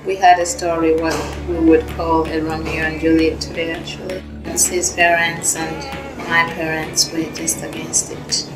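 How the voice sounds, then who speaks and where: A young woman from Rwanda telling her life story in a soft, earnest, reflective way. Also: sits low, completely flat delivery, moderate pace. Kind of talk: personal testimony. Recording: roomy and distant, music underneath.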